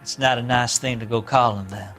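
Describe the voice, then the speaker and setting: An actor playing a sly, unrepentant drifter and ex-convict in a video game, with a rural American accent and an evasive, folksy, drawling way of talking. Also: male voice, mid-range voice, steady tone, a little fast, a bit bright, soft background music, lightly acted. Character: sly unrepentant small ex-convict drifter suspect, farm boy. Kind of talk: video game interrogation acting.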